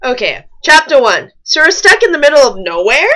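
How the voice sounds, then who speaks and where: Bright lively woman doing a funny read-along, swings up and down a lot, casual asides. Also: high-pitched, fairly quick. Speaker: co-host reading fan fiction aloud. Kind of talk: comedic reading with casual commentary.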